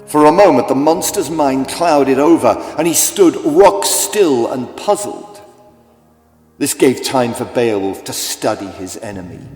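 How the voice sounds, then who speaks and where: A man reads a children's story as a dramatic audiobook narrator. His voice has a medium pitch. It rings with hall-like echo, and music plays clearly behind him. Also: expressive, measured pace, a little mellow. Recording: echoey, long hall-like reverb.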